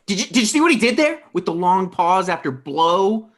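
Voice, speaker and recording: A man's voice: high voice, big pitch swings, brisk, fairly bright tone. A man in his thirties acting an irritable, sarcastic, paranoid character in a table read over a video call. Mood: incredulous.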